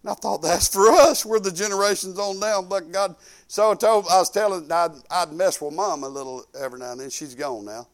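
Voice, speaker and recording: Man, fifties, Southern US accent, high voice, informal preaching, folksy, emphatic, loud-and-soft delivery. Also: a little fast. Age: 50s. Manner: folksy and conversational, peppered with praise exclamations; emphatic, swinging between quiet asides and loud declarations. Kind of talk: informal sermon with personal anecdotes and prayer.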